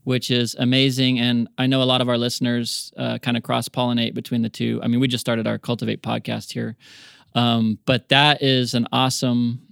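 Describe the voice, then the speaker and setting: A man's voice: mid-range voice, little pitch movement, normal speed, vocal fry, a bit bright, no echo, studio quality. An American man hosting a podcast interview, chatting casually and joking often. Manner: jokey, laughs often, easygoing. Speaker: podcast host.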